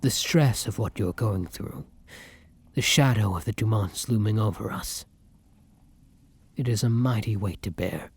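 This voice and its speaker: A weary vampire lover played by a man in an intimate ASMR romance roleplay. His voice is lowish, very husky and breathy, often sinking to a whisper, with gentle, courtly endearments. The sound is close and dry. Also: dry recording, full character voice. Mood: tender, sorrowful. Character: tender, weary male vampire lover on the run.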